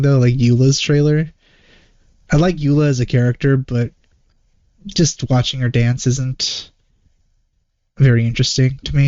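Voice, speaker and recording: Muffled, mellow man thinking out loud. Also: mid-range voice, no room sound. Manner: hesitant, rambling, thinking out loud. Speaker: reaction video host. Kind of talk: casual reaction commentary. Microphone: wrong microphone, close and dry. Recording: dull, muffled lo-fi microphone.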